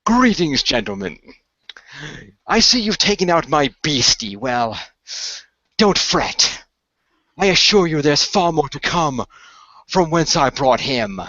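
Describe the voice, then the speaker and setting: A man voicing a gloating villain sorcerer with pompous, drawn-out taunts in a hobby radio play, on dull audio. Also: a little high, very animated, bright, forceful tone, no echo, pitched above the performer's own voice, full character voice. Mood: gloating menace.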